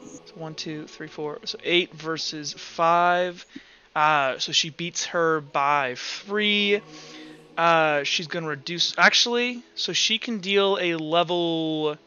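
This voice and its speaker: A man's voice: on the high side, slow, bright, forceful tone. A man working as game master, narrating a tabletop roleplaying game casually, thinking aloud and swearing freely. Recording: dull, lo-fi sound with background music.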